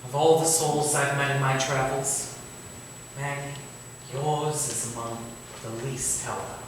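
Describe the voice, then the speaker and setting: A man playing an earnest, courteous, wistful Victorian gentleman writer in a staged play reading, polite and formal. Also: mid-range voice, fairly even intonation, a little slow, natural voice. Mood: tender, restrained. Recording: echoey hall from far off, faint noise.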